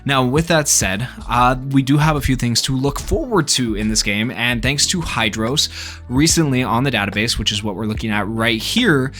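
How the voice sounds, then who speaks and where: A man's voice: medium pitch, moderate pace, a bit bright. A man speaking off the cuff as a gaming YouTuber, giving informal, rambling commentary on a game. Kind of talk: casual video commentary on a game. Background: background music.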